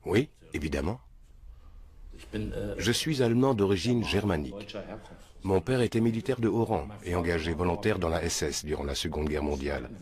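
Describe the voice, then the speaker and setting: A man's voice: low-pitched, lively intonation, moderate pace, crowd noise. A man answering interview questions in a matter-of-fact, assured way.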